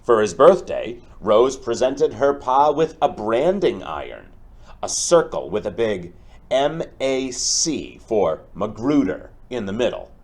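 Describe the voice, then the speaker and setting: A man teaching through a storybook read-aloud, speaking deliberately and explaining things directly to his pupils. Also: medium pitch, very animated, darkish tone.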